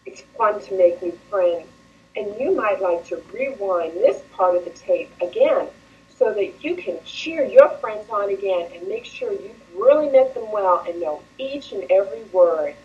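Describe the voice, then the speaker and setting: A woman presenting a children's reading show, speaking slowly and encouragingly as she leads the viewers in cheers. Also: mid-range voice, lively intonation, mellow. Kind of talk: scripted address to children. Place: indoor studio set with plant backdrop. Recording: dull, roomy old videotape sound from far off.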